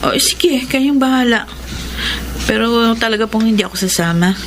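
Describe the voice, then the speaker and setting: Hesitant young Filipina played by an actress, noisy background. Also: female voice, on the high side, moderate pace, lightly acted. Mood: reluctant. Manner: hesitant, polite. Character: reluctant, self-effacing older sister and young mother. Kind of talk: Tagalog radio drama acting.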